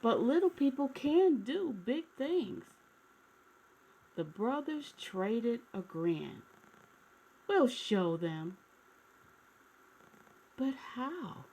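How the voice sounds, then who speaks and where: A woman hosting story time, reading a picture book expressively, with dramatic pauses and asides to the children. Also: sits high, husky, poor audio. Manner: animated, asks listeners questions, dramatic pauses.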